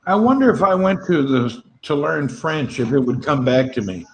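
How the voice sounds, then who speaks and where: Chatty joking man, slightly higher voice, with a faint noise floor. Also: lively intonation, normal speed, rough recording. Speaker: livestream chat participant. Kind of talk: casual chat.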